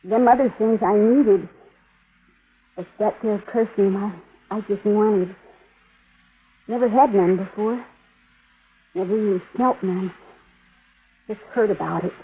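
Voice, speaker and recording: A woman's voice: medium pitch, slow, pauses often, breathy edge. A woman playing a tough, proud sixteen-year-old runaway farm girl in an old radio western, with a sassy rural Western American drawl. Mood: wistful. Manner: sassy, folksy, ungrammatical drawl. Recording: muffled, narrow-band old radio recording.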